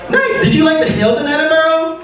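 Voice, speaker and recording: Animated high-voiced man on a comedy stage. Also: brisk. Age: late 30s. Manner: animated, exaggerated, swoops into high squeals. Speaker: stand-up comedian. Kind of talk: stand-up set. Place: comedy club stage, live audience. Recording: roomy club sound from a distance, crowd laughter.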